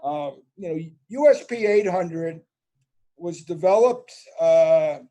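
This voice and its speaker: High-voiced man explaining on a call. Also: animated, takes it slowly, warm tone. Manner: explanatory, many fillers and restarts. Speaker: presenter. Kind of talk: webinar presentation.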